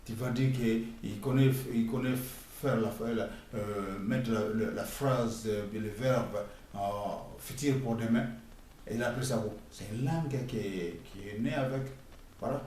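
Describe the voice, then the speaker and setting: A middle-aged man from the Congo with a Congolese French accent, talking in an emphatic, halting, scolding way for his video blog. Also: fairly low pitch, a little mellow, slight background noise. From Democratic Republic of the Congo. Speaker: vlogger. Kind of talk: casual spoken commentary. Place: outdoors near a construction site. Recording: echoey and distant with faint ambience.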